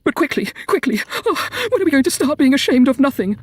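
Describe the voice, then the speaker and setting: A man's voice: very high-pitched, sing-song, fast, pitched above the performer's own voice. A man voicing a shameless, impatient society woman in a story, squealing and nearly hysterical.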